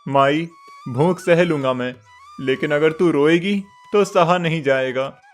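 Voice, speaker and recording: A man voicing a poor young village goatherd boy who is gentle and devoted, speaking softly and reassuringly. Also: fairly high pitch, measured pace, soft background music, natural voice. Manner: soft, reassuring, stammers when scared.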